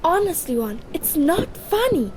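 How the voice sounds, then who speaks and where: A woman playing a bright, bossy, sensible teenage witch in an audio drama. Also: extremely high pitch, big pitch swings, measured pace, slight background noise, heavily acted. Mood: brisk, concerned.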